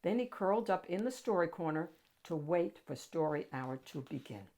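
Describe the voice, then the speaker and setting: A woman reading a picture book aloud to children in an animated, expressive storytelling style with dramatic emphasis. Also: lowish voice, moderate pace.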